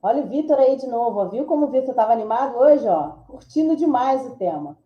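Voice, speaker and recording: A woman's voice: fairly high pitch, expressive, fast talker, dull audio. A Brazilian woman reading audience comments aloud, adding casual asides between them. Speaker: reader of audience chat comments. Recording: echoey, roomy sound.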